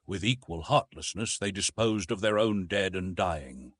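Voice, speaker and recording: A man's voice: deep voice, expressive, a little slow, fairly bright tone, breathy edge, no echo, studio quality. A man reading a classic adventure novel as a scripted audiobook narration.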